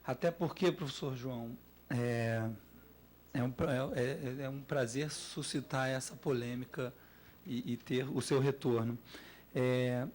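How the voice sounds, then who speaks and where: A man with a Brazilian accent speaking off the cuff as an academic panelist, halting and repeating words as he develops his points. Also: mid-range voice, steady tone, darkish tone, a little hiss or hum. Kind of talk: spontaneous panel discussion.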